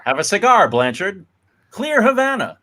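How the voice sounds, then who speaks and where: A man's voice: sits high, very animated, moderate pace, sharp tone, heavily acted. A man acting a genial middle-aged father and merchant in a dramatic comic reading, hearty and sociable.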